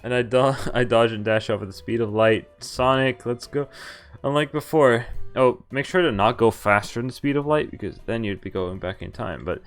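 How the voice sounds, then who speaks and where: A man streaming a game, reading its text aloud with animated, jokey reactions and casual commentary, sometimes stumbling over words and correcting himself. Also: medium pitch, moderate pace, hardly any pauses. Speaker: game streamer doing a visual novel playthrough. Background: game music.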